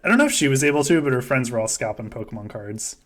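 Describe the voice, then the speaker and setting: A man's voice: mid-range voice, animated, fast talker, a bit bright. A man hosting a game stream in casual, joking chat, with wry, profane, ranting asides.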